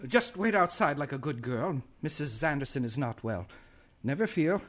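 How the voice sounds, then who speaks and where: An actor playing a gentle, patient middle-aged pastor who speaks in a soothing, fatherly way and can turn stern. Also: on the high side, animated, moderate pace. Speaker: pastor and husband. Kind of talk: stage play acting.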